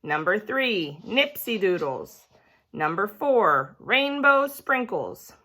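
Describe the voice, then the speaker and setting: Woman playing a weary, patient male schoolteacher, animated delivery. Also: mid-range voice, slow, full character voice. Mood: deliberate reading.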